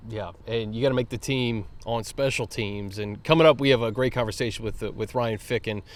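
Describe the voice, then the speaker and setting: A man's voice: medium pitch, a little fast. A man hosting a sports show, talking conversationally about team news. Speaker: sports podcast host.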